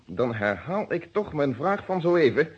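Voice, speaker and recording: A man's voice: a little high, sing-song, moderate pace, husky, full character voice. A man playing a methodical, unyielding police inspector in a Dutch detective radio play, speaking in formal, precise phrases. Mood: pressing. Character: methodical, unyielding police inspector questioning his own friend. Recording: dull lo-fi old radio recording.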